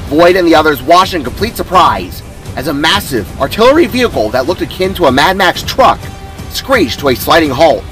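Male narrator for dramatic fiction, slightly high voice, very expressive pitch. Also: rough recording. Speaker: author narrating own story. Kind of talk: dramatic scripted story narration.

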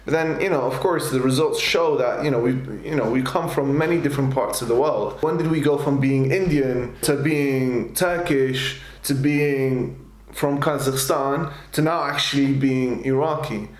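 A man in his twenties chatting casually and excitedly to camera, often chuckling as he talks. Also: medium pitch, normal speed. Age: 20s. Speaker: participant in a DNA test video. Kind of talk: casual reaction talk to camera. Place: small bare room, indoors, at a desk. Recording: roomy echo, faint background music.

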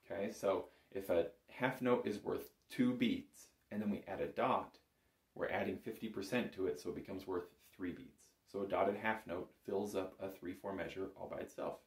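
A man's voice: deep voice, fairly even intonation, normal speed. A middle-aged man giving an informal, explanatory music lesson to camera, recorded on a phone in a room. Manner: explanatory, often checking in with "right?". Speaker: bagpipe instructor. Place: small indoor music room with instruments on the walls. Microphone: phone camera a short distance away. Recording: roomy echo, phone recording.

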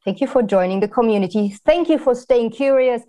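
A woman's voice: fairly high pitch, animated, brisk, mellow. Bubbly woman hosting an interview. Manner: bubbly, laughs often. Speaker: host. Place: plain-walled indoor room.

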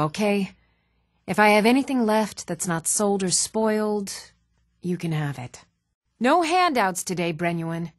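An actress playing an even-toned townswoman in a fantasy video game, speaking scripted lines in a measured, matter-of-fact way. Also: medium pitch, no room sound, studio quality. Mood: curt, annoyed.

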